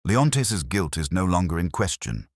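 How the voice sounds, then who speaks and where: Man doing the detective's earnest sidekick, deep voice, asks stuff with lots of lift, audiobook. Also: sing-song, unhurried, no room sound, studio quality, heavily acted. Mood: inquiring. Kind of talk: audiobook detective story dialogue.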